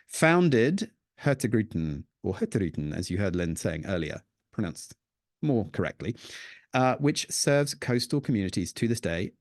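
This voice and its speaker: A man giving an illustrated talk on the history of the northern lights, telling it as a lively story with playful asides. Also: fairly low pitch, dry recording, studio quality. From Danish heritage. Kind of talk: illustrated presentation with slides.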